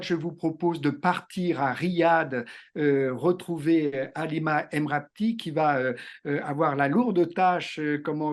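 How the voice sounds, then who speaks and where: A man moderates an online panel with a fairly high voice. He speaks in a measured, polite way, with frequent fillers as he introduces the next speaker. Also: darkish tone. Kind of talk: moderating a webinar. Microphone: video call microphone.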